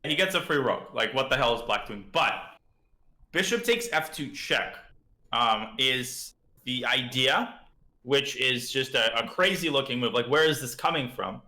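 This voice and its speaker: A man's voice: mid-range voice, measured pace, pushed and bright, echoey room. A man with a slightly parched throat, chatting casually as he teaches chess on a live stream and thinks aloud about moves.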